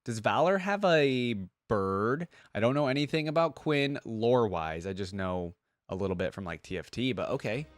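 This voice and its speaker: A man's voice: medium pitch, lively intonation, moderate pace, no echo. A man hosting a reaction video, thinking aloud in an informal, conversational way about game voice lines.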